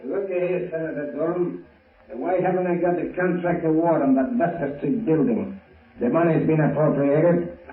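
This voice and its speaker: An actor playing a blunt, impatient crooked contractor in a 1930s radio drama. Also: male voice, fairly high pitch, moderate pace, far from the mic, narrow, tinny audio, lightly acted. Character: pushy crooked male contractor. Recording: scratchy, roomy old radio recording from off-mic.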